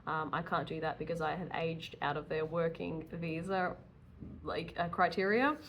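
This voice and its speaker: A woman's voice: sits low, fairly even intonation, normal speed, fairly bright tone, a little hiss or hum. A woman in her early thirties talking casually and candidly in a rambling, chatty vlog style, with self-deprecating asides. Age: early 30s. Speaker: vlogger, self-employed dog groomer.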